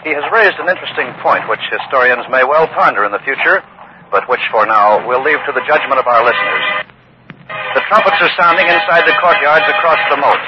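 An actor playing a radio news reporter giving an on-the-scene commentary in a 1950s historical drama. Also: male voice, medium pitch, lively intonation, fairly quick, natural voice. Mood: observant. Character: brisk male radio news reporter at medieval event. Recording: dull noisy old radio broadcast.

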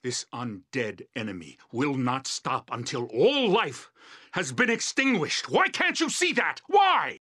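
An actor playing an anguished, self-righteous fallen leader in a game, impassioned and theatrical. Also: male voice, mid-range voice, big pitch swings, a little slow, sharp tone, slightly rough, exaggerated voice. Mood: anguished pleading.

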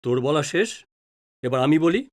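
A man's voice: fairly high pitch, lively intonation, moderate pace, close to an everyday voice. Man acting a flustered middle-aged father, swooping intonation. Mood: indignant. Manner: stammers and repeats words when flustered. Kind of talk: Bengali audio drama acting.

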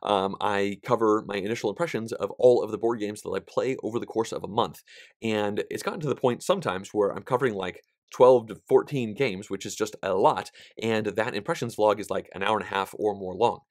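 A man in his thirties talks casually to camera from a small studio, his voice slightly nasal and pitched on the low side. He is chatty and animated, with plenty of fillers. The sound is dry and close. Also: fairly quick, dry recording. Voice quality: somewhat nasal. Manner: chatty and animated, gesturing with both hands, frequent fillers. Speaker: board game vlogger. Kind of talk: casual vlog update. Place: small indoor studio, plain backdrop.

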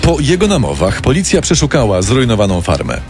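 Lively male true-crime narrator over music. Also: mid-range voice, brisk, gravelly.

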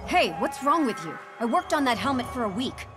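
A woman's voice: high voice, very animated, brisk. An actress voicing an annoyed, sassy young woman at a party in a video game, casual and indignant. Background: music.

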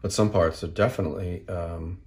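Guy with a really deep voice doing an unscripted how-to, explains step by step, stop and start. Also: fairly even intonation, moderate pace, a little mellow. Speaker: tutorial host. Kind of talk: casual hands-on repair tutorial narration.

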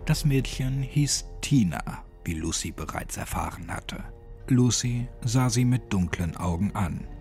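Male horror audiobook narrator, dramatic scripted read, animated intonation, music bed. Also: fairly low pitch, a little slow.

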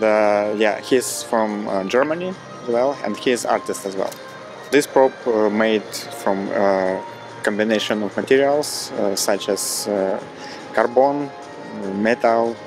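A man in his thirties with a Ukrainian accent, a circus performer talking about his act in a relaxed interview, often pausing with fillers. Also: on the low side, low-quality recording. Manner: hesitant, many fillers, warm. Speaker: hand balancing performer. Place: dark studio, seated before backdrop. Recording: interview with loud music underneath.

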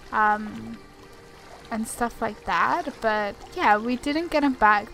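A woman's voice: fairly high pitch, a bit bright. A woman giving casual, informal gameplay commentary, chatting and thinking aloud as she plays. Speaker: gaming video creator.

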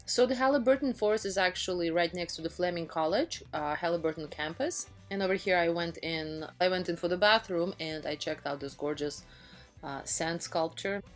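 Chatty woman vlogger, lowish voice. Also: normal speed. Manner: enthusiastic, chatty, frequent fillers and restarts. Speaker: travel vlogger. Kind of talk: casual travel vlog voice-over. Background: faint background music.

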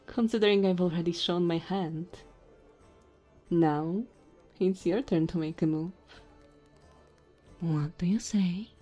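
A woman voicing a tipsy, flirty goblin innkeeper in an intimate ASMR roleplay, soft and teasing with hesitant pauses. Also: fairly low pitch, muffled recording.

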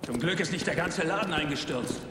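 An actor in a German game dub playing a curt, commanding, menacing intelligence agent. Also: male voice, fairly high pitch, expressive, brisk, natural voice. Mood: displeased, demanding. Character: menacing American agent leading armed insurgents. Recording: echoey hall, loud ambient game noise.

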